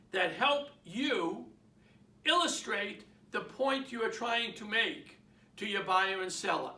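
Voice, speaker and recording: A man with a Boston accent coaches real estate agents, pressing his points again and again and throwing questions at his listeners. His voice sits high and sounds bright and forceful. He is recorded from a distance in a roomy, echoing space. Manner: emphatic, repeats points, asks the audience questions. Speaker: real estate sales trainer. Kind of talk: motivational sales talk. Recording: roomy, from off the mic.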